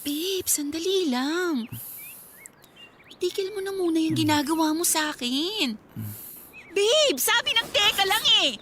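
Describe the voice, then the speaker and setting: A woman's voice: very high-pitched, animated, unhurried, pauses often, a bit bright, a little hiss or hum, heavily acted. A woman playing a young student girlfriend, affectionate but guarded, in a Tagalog radio drama. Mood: uneasy, pleading. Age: young adult.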